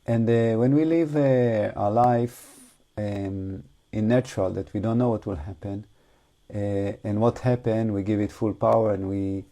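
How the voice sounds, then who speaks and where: A man with a fairly low, very soft and dark voice teaches meditation in non-native English. He speaks off the cuff in a reflective, halting way, leaving long pauses between short phrases. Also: fairly even intonation. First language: not English. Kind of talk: unscripted guided meditation talk.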